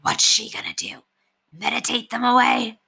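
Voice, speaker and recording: Brief: bearded bandit leader, male, storybook, gruff and impatient, grumbling orders with forceful edge, acted by a woman. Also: on the high side, sing-song, moderate pace, voice pushed up, heavily acted. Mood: skeptical. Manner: grumbling, commanding.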